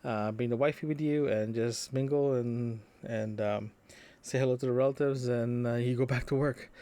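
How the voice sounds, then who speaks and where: A man recording a casual, unscripted audio diary as a podcaster, talking in a rambling, halting way with trailing asides. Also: medium pitch, fairly even intonation, dark tone.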